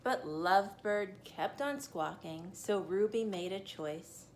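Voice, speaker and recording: A mother reading a rhyming picture book aloud for children, gentle and a little tired, in a steady storytelling cadence. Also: medium pitch, takes it slowly, slight background noise.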